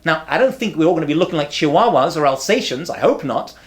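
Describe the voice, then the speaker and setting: A man in his thirties, a rabbi who is not from America, giving a lively lecture to camera with punchy repetition and humorous asides. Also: a little high, fast talker. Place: indoor study with bookshelves.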